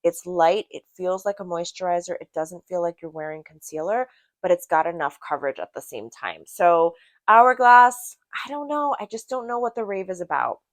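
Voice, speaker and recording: A woman's voice: lowish voice, expressive, normal speed, no echo. A woman from Brazil giving a casual product review to camera in a chatty, emphatic way, repeating words for emphasis. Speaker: beauty product reviewer and vlogger.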